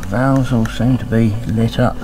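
A man's voice: mid-range voice, fairly even intonation, a little slow, noisy background. A man, casually narrating as he inspects an old radio in his workshop, thinking aloud with frequent ums and hesitations. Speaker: radio restorer. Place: cluttered home workshop, indoors.

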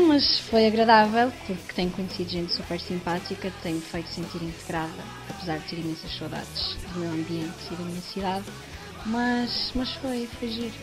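Young Portuguese woman over clear music. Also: medium pitch. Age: young adult. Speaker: student. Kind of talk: spontaneous vox pop answer.

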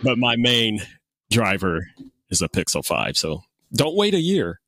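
A man's voice: fairly low pitch, wildly swooping pitch, unhurried, a bit bright, dry recording. Casual, chuckling male podcast host, dry sound. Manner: jokey, chuckles often. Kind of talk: casual interview chat.

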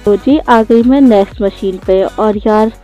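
A woman's voice: mid-range voice, unhurried, warm tone, highs cut off. A woman, giving a casual, chatty voice-over for a daily vlog. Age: 20s. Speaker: vlogger. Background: faint music.

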